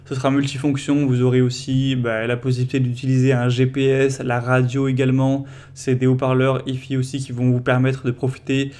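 Even-toned man presenting a product comparison. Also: medium pitch, brisk, smooth. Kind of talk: casual product comparison voice-over.

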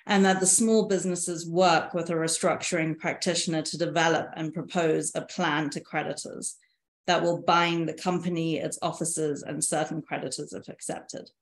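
A woman in her thirties presenting slides on a video call, in a measured, explanatory way. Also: sits low, fairly even intonation. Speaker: panelist presenting on insolvency reform. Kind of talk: presentation over shared slides. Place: indoor room, video call. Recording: echoey room on a video call.

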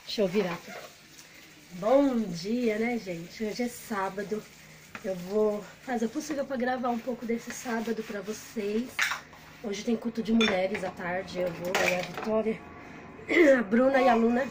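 A woman's voice: mid-range voice, unhurried. A woman in her thirties from Brazil chatting casually to the camera in a home vlog, filming on a phone held at arm's length indoors. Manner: chatty, talks straight to the camera. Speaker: vlogger. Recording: noisy phone recording.

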